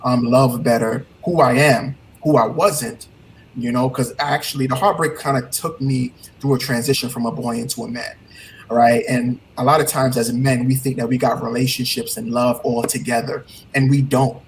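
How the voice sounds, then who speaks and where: A man's voice: mid-range voice, fairly even intonation, moderate pace, a little hiss or hum, poor audio. A man with a Trinidadian accent, a heartbreak coach speaking as a guest in an earnest, motivational way, often repeating his key points. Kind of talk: casual interview talk.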